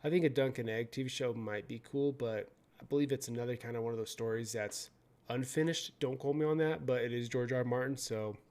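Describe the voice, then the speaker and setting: A young adult man hosting his own channel, reading news out loud in a casual way, often stumbling over words, swearing and joking. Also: medium pitch, fairly quick. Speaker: video host.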